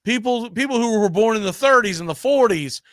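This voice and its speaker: A man's voice: high-pitched, lively intonation, brisk, no room sound, studio quality. A man hosting a live sports show, chatting casually about the game.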